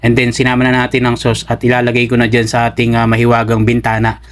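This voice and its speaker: A man with a Filipino accent giving casual, step-by-step voice-over narration as a cook, often pausing on "ah". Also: mid-range voice, completely flat delivery, a little hiss or hum. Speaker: cook and vlogger.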